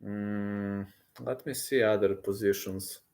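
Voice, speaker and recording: A man in his thirties in casual unscripted livestream chat, halting and repeating himself as he thinks aloud while answering viewers. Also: on the low side, steady tone, darkish tone. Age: 30s.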